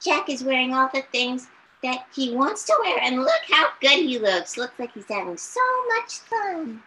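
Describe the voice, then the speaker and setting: A woman's voice: sits high, sing-song, moderate pace, sharp tone, low-quality recording. A woman co-hosting playful chat for children in an eager, childlike manner. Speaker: storytime co-host.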